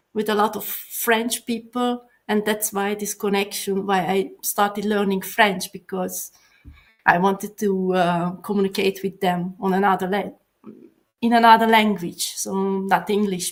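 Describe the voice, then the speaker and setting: Slow-speaking woman. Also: mid-range voice. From Hungary. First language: Hungarian. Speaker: guest. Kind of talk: casual interview chat.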